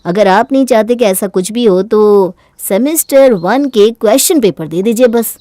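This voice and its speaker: A woman's voice: on the high side, moderate pace, warm tone, no echo, studio quality, close to an everyday voice. A woman voicing a cocky young male college student, smug and firm, in an audio story. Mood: smug, threatening.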